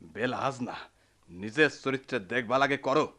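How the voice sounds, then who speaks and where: An actor playing an indignant, meddling villager in a radio drama, argumentative and emphatic, with a rural Bangladeshi accent. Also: male voice, mid-range voice, moderate pace, pushed and bright, lightly acted. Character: indignant, meddling older brother-figure in a village quarrel. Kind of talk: Bengali radio drama acting.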